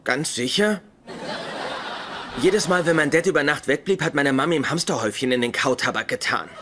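An actor playing a pedantic, petty young genius in a dubbed sitcom, speaking with smug precision. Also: male voice, a little high, moderate pace, close to an everyday voice. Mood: petulant. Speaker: sitcom character. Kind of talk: German-dubbed sitcom acting. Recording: sitcom soundtrack with audience laughter, noisy.

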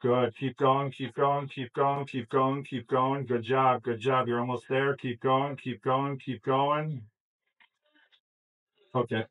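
A male police officer in a station booking room, calmly coaching someone through a breath test and reassuring them, picked up by a ceiling security camera. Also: mid-range voice, slow pace, narrow, tinny audio. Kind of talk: procedural instructions.

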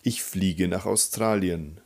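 A man working as a language teacher, reading example sentences in a deliberate, instructional way. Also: low-pitched, darkish tone. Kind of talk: scripted grammar drill, reading example sentences.

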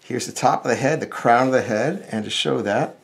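A man's voice: medium pitch, fairly quick, creaky. A middle-aged American man giving a casual step-by-step drawing lesson, thinking aloud informally as he works. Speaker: cartoonist, drawing instructor.

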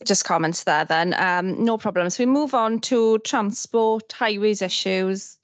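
A woman chairing a council meeting, brisk and businesslike. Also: medium pitch, no room sound.

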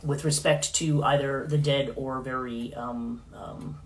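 A middle-aged woman hosting a casual livestream in her living room, rambling with many fillers and restarts, her voice going hoarse. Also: very deep voice, steady tone, a little slow. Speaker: podcast host. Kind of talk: casual livestream talk on myth.

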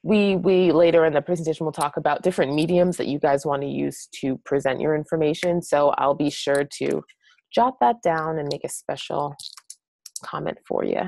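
A woman's voice: low voice, moderate pace, gravelly, a little mellow, no echo. An American woman in her late twenties giving a casual webinar presentation in a chatty, encouraging way. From New Hampshire, United States. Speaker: guest presenter, blog strategist.

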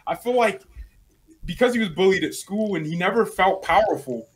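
A man's voice: high voice, animated, normal speed, slight background noise. A young man in his twenties, a guest rival fan, bantering playfully and teasing on a video call from a small room at home. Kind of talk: casual banter.

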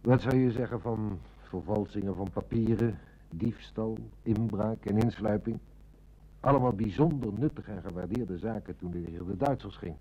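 A man playing a shrewd, dry, measured police inspector in a Dutch radio play. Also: on the low side, mellow, lo-fi sound, lightly acted. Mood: dry, musing.

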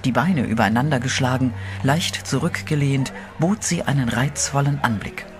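A man giving scripted third-person narration in an even, storytelling way for a horror radio play. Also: medium pitch, normal speed. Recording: music bed under the voice.

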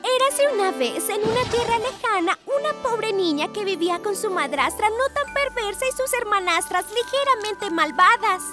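A woman's voice: very high voice, expressive, normal speed, few pauses. A woman voicing a bossy little girl who declaims like a stage storyteller in a dubbed cartoon. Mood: storytelling. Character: bossy little girl narrating and playing a stepmother. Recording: music behind the voice.

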